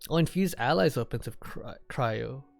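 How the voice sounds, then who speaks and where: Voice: mid-range voice, lively intonation, moderate pace, vocal fry. Rambling game streamer with music behind. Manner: rambling, repeats phrases while thinking aloud. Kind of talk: casual live gameplay commentary.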